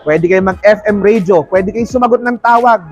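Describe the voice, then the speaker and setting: A man's voice: sits high, moderate pace. A man with a Filipino accent giving a playful, salesman-like product review in casual chat, mixing in English terms. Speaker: vlogger presenting a product unboxing. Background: music.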